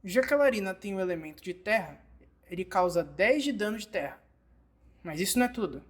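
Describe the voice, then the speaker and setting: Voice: mid-range voice, expressive, a little fast, breathy edge. A narrator with a Brazilian Portuguese accent, reading a scripted game tutorial and instructively addressing the learner directly.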